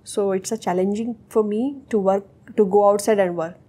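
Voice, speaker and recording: A young woman whose first language is Urdu, answering interview questions in hesitant, halting English. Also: medium pitch, steady tone, warm tone, slightly rough. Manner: hesitant, searching for words. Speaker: interviewee, technical associate.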